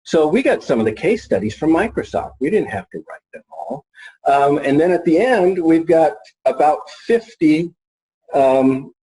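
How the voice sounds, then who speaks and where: A middle-aged man informally presenting as a marketing expert, folksy and halting as he thinks aloud. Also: a little high, expressive, dark tone, low-quality recording. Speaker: marketing expert and author presenting his book.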